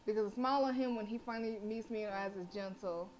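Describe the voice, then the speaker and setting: A woman streams a horror visual novel, reading its lines aloud and breaking off to heckle the characters. She is brash, animated and freely profane. Faint music plays softly underneath. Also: mid-range voice, fast, low-quality recording. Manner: brash, animated and profane, with slangy trash talk. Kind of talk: live gameplay commentary and reading aloud.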